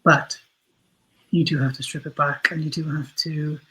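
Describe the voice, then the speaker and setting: A man with a husky, fairly high voice speaks as an interview guest. His intonation stays very even. He talks conversationally, reworking his phrases as he goes while giving his opinions. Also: moderate pace, rough recording. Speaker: interview guest, writer for audio and screen.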